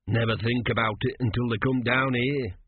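A tired, philosophical master embalmer, as a male reader voices him, slow and unhurried with a husky edge. His weary, matter-of-fact lines come through a tinny radio broadcast. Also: mid-range voice, fairly even intonation, dry recording, close to an everyday voice. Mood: musing. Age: middle-aged.

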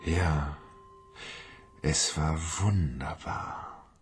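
A man's voice: rumbling low, extremely slow, slightly rough, heavily acted. An actor playing a shy, lonely man who has fallen for his phone, talking dreamily and timidly. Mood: dreamy. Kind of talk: radio drama acting. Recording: music playing underneath.

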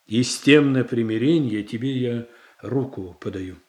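An actor playing a self-controlled, diplomatic boyar in a classical radio tragedy, formal and restrained. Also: male voice, medium pitch, unhurried, echoey room, lightly acted. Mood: conciliatory. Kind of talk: classical Russian stage tragedy acting for radio.

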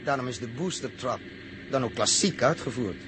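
A man's voice: mid-range voice, lively intonation, moderate pace, lightly acted. Actor as level-headed male crewman, noisy recording. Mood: calm, reassuring. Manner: matter-of-fact, reassuring. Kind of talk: 1960s radio drama acting. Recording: noisy old radio recording, vehicle hum.

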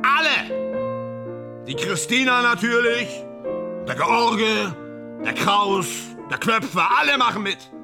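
A man's voice: on the high side, big pitch swings, measured pace, pauses often, over music. A man in a German radio play, playing a grandiose, self-deluding film actor who declaims in exalted, repetitive phrases.

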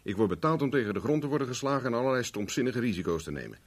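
Husky, wry private investigator. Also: male voice, mid-range voice, animated, very fast. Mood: firm, explaining. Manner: dry, teasing, self-mocking. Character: wry, cocky male private investigator for hire. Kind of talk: 1970s Dutch radio play acting.

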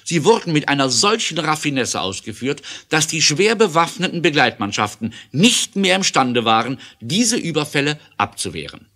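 A man's voice: a little high, big pitch swings, moderate pace, hardly any pauses, pushed and bright. A man narrating an adventure audio drama in an emphatic, theatrical way.

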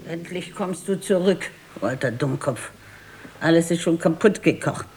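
An actress playing a scornful, nagging old wife in a radio drama. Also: female voice, on the low side, measured pace, over music. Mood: scolding. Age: older. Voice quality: husky. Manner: nagging, biting. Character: scolding, scornful old wife, married fifty years. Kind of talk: 1960s German radio drama acting.

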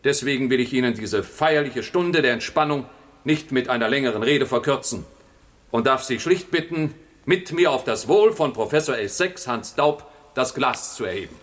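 A man's voice: medium pitch, expressive, moderate pace, lightly acted. Pompous, smug general played by a man. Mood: pompous, ceremonial. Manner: pompous, oratorical, condescending. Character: smug, pompous male general, scheming behind courteous words. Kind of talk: 1960s German radio play acting.

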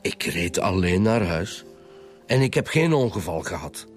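A stiff Flemish schoolteacher in a radio serial, his voice on the low side. He is a guarded man who answers formally, precisely and curtly, with faint music behind him. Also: sing-song, unhurried. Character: stiff, guarded male schoolteacher under police questioning. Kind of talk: radio drama acting.

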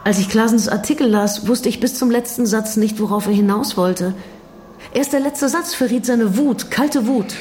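A woman's voice: on the high side, normal speed, darkish tone, close to an everyday voice. An actress playing an earnest woman in radio drama dialogue, questioning and direct. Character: probing, earnest woman in conversation with a writer.

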